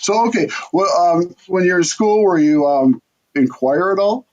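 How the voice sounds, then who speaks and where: A man hosting an interview, chatting in a relaxed and encouraging way. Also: high voice, normal speed, dull audio. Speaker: interviewer host. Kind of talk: casual interview chat.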